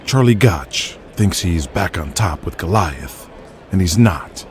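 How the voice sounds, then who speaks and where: A man playing a cool, calculating male wrestling boss in an audio drama, measured and deliberate. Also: low-pitched, lively intonation, soft background music. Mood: doubtful.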